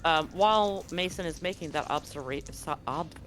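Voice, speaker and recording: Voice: mid-range voice, normal speed, a bit bright. A game master narrating a tabletop roleplaying game in a conversational way, describing scenes and asking players what they do. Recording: music playing underneath. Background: music.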